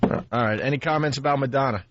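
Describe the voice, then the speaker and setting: Radio host guy, mid-pitched voice, casual banter, kinda dull lo-fi recording. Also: fast, noisy background.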